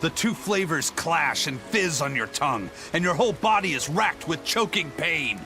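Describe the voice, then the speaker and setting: Eizen, a stern proud pirate. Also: male voice, on the high side, lively intonation, moderate pace, music in the background. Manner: stern, lecturing. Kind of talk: game dub acting.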